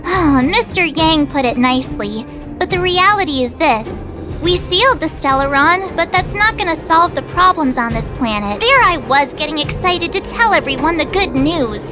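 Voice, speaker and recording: A woman's voice: high voice, animated, moderate pace, music in the background. A woman voicing a perky, chatty, outspoken teenage girl adventurer in a video game, bubbly and informal. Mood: candid, slightly let down. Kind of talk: scripted video game cutscene acting.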